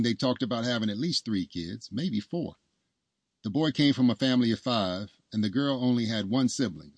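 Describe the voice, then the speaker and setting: A man's voice: medium pitch, a little mellow. A man reading a scripted story as its narrator.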